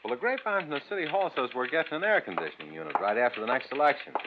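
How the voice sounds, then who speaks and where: Old crime radio show w background noise, guy as chatty wisecracking cop clerk, super animated. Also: mid-range voice, very fast, lightly acted. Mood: easygoing. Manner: folksy, joking, animated. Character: wisecracking, chatty male police records clerk. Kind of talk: 1950s radio crime drama acting. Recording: dull noisy old radio recording.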